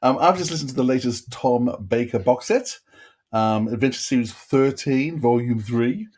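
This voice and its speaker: Husky, rambling male podcast co-host. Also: mid-range voice, normal speed, darkish tone. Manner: rambling, self-interrupting. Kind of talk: casual chat, reviewing audio dramas.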